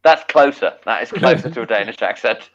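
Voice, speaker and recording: Wry man with dry, drawn-out emphasis. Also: medium pitch, lively intonation. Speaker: podcast co-host. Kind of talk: casual comedic chat. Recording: slightly dull lo-fi audio.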